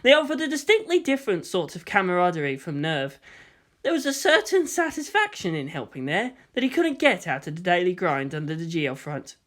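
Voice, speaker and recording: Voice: mid-range voice, big pitch swings, a little fast, fairly bright tone. A narrator reading a scripted story aloud as in an audiobook.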